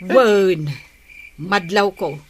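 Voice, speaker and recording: A woman's voice: medium pitch, drawn-out words, a little hiss or hum. A woman with an Ilocano accent playing an observant, nagging wife in a radio comedy drama. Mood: suspicious.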